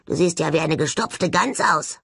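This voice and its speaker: A woman's voice: deep voice, moderate pace, creaky, fairly bright tone, husky, dry recording, studio quality, exaggerated voice. A woman voicing a sullen, sardonic male ghost of a skull in a jar for an audiobook, grumbling and mocking with indignation. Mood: indignant. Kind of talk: audiobook character voice.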